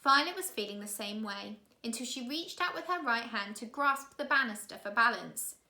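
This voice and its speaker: A woman reading a children's novel aloud in an expressive storytelling style with dramatic emphasis. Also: fairly high pitch, moderate pace. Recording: roomy, echoey room sound.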